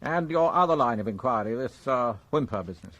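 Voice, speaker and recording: An actor playing a terse, authoritative British chief inspector in a radio crime drama, probing with clipped questions. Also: male voice, medium pitch, animated, brisk, a bit bright, dry recording, close to an everyday voice. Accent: British English.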